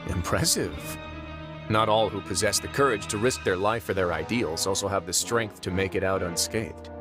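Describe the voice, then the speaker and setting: An actor playing a composed, wry duke who runs a prison fortress in a video game, speaking in a smooth, measured, politely teasing way. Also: male voice, sits low, over music, natural voice. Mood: courteous.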